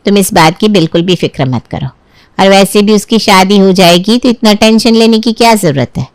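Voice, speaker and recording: A woman's voice: mid-range voice, no echo, lightly acted. A woman voicing an older mother in a family story, soothing her daughter with advice. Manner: soothing, advising. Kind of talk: acted dialogue in a Hindi family storybook tale.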